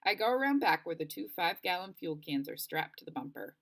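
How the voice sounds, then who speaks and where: Animated low-voiced woman reading a story aloud. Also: fairly quick. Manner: animated, acts out dialogue. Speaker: read-aloud narrator of a children's novel. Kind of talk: expressive storybook read-aloud.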